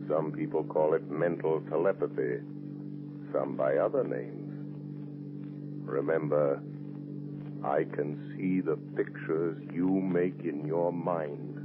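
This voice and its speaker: A man playing an invisible, taunting avenger in a vintage radio thriller, menacing his victim with deliberate words on a scratchy old recording. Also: extremely low pitch, narrow, tinny audio. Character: invisible menacing male avenger haunting a driver. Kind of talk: 1930s radio thriller acting.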